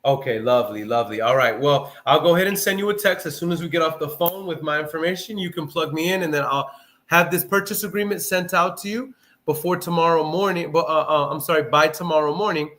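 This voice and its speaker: A man who buys houses, making a live sales call and then talking excitedly with a friend, saying "bro" often. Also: fairly high pitch, quick pace. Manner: upbeat, hyped, says "bro" often. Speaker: house buyer, livestream host.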